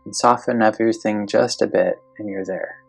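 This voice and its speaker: A meditation guide speaking slowly and gently, giving calm step-by-step instructions in a guided meditation. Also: mid-range voice, gravelly, breathy edge, soft background music, low-quality recording.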